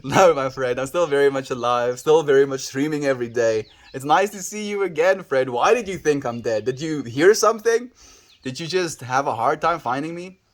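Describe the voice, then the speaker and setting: A man with a South African accent chats live with his viewers in a relaxed, rambling way. He calls people dude and bro and often trails off and restarts his thoughts. His pitch sits right in the everyday middle for a man. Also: moderate pace. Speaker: livestreamer. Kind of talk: casual livestream chat answering viewers.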